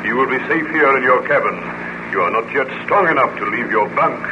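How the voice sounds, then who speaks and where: A man playing a firm, loyal sea captain with a formal, measured, commanding manner, in 1930s radio drama style. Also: medium pitch, lively intonation, lightly acted. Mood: calm, commanding. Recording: dull, noisy 1930s radio recording.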